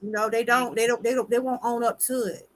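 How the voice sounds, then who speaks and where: A woman's voice: fairly high pitch, fairly even intonation, brisk. A woman with a Southern US accent hosting a casual live talk show in a folksy, playful way. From US South, Georgia.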